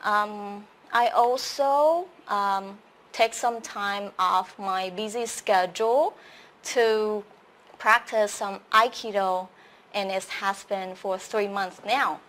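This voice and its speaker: A woman's voice: medium pitch, fairly even intonation, slow pace, fairly bright tone. A young Vietnamese woman with a Vietnamese accent, hosting a live-streamed English lesson and chatting about herself with many filler sounds. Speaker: host.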